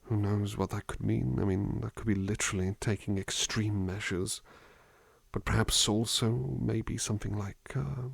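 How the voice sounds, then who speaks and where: A man playing a gentle, attentive butler in an ASMR roleplay, musing in a polite, hesitant, formal way into a close microphone. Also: on the low side, gravelly, breathy edge, no room sound, full character voice. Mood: pensive. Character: gentle, musing, attentive male butler looking after someone. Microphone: close dry microphone.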